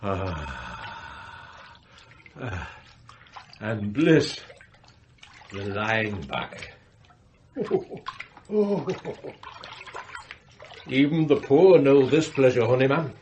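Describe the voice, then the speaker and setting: Languid rich magnate, slow and luxuriating. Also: male voice, medium pitch, very animated, darkish tone, slight background noise. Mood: blissful, languid. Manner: luxuriating, sighing, drawn-out. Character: pampered rich male magnate, languid and self-indulgent. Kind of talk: radio comedy drama acting. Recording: water splashing and dripping behind voice. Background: bath water sounds.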